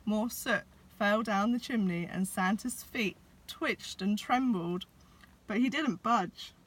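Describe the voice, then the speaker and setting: Slow, expressive woman reading a storybook. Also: medium pitch, rough recording. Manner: dramatic pauses, addresses young listeners. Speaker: teacher reading a picture book. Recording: clear background noise.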